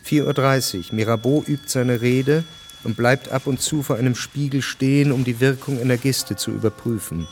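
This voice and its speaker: A man's voice: medium pitch, fairly even intonation, normal speed, darkish tone, slight background noise. A man narrating a radio drama from a script in a sober, matter-of-fact way.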